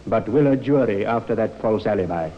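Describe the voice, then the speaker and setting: An actor playing a shrewd, avuncular gentleman barrister in a 1950s radio drama, formal and deliberate. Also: lowish voice, lively intonation, close to an everyday voice. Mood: paternal, sceptical. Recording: echoey, dull vintage radio recording.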